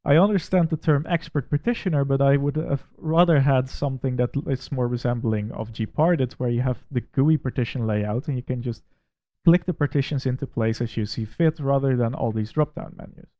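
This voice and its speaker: A man with a Dutch accent talks through a screen recording, steady and methodical, with pointed criticism. His voice sits at an ordinary middle pitch. The sound is dry and a little dull, like a simple home mic. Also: normal speed, dry recording. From Netherlands. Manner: critical, methodical, plainly spoken. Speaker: tech reviewer. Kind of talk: screen-recorded software walkthrough.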